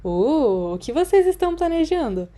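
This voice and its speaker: A chatty young Brazilian schoolgirl, done by a woman narrator, asks questions in a raised, lively pitch. She sounds friendly and inquisitive, as in a storybook. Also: moderate pace. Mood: curious, quick.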